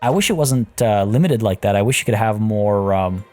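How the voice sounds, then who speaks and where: A relaxed man with a low, warm voice walks through his strategy game turn by turn. He sounds slightly hoarse, as if losing his voice. Faint game music plays quietly behind him. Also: moderate pace. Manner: relaxed, thinking aloud with many fillers. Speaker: let's play host. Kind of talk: casual gameplay commentary.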